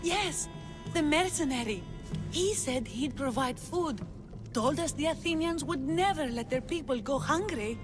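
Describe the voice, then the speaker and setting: An actress playing a desperate, starving townswoman in a video game, pleading emotionally and haltingly. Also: high voice, animated, music in the background. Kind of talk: video game dramatic acting.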